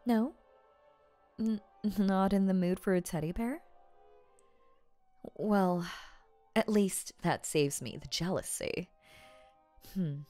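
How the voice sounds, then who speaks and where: Woman acting a slow-talking, doting, possessive rich girlfriend. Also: medium pitch, dark tone, soft background music, lightly acted. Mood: coaxing, playful. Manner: coaxing, teasing, halting playful asides. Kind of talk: ASMR audio roleplay, spoken straight to the listener.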